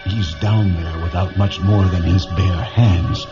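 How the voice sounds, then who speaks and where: A man playing a bitter, sharp-tongued, ailing psychic pilot in a science fiction radio drama, sarcastic and abrupt. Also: low voice, fairly even intonation, takes it slowly. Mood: earnest, intense. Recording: muffled lo-fi audio with music behind.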